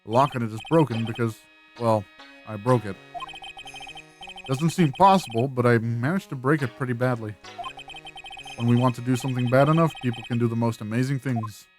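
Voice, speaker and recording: A man's voice: medium pitch, a little fast, pauses often, close to an everyday voice, poor audio. Man voicing an earnest, flustered young lawyer over music. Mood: musing. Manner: wry, hesitant, musing. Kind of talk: amateur video game voice-over. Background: game music.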